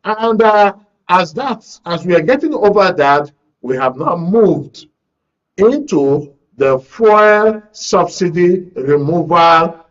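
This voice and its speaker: Higher-pitched Nigerian male radio host, dull audio. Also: lively intonation, slow. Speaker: radio talk show host. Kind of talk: live current affairs commentary.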